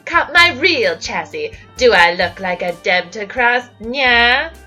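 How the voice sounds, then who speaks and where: A woman's voice: on the high side, lively intonation, slow, sharp tone, full character voice. A woman playing a slangy, menacing gangster in a comedic audio drama, in old-time caricature. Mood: sneering. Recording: music bed under the voice.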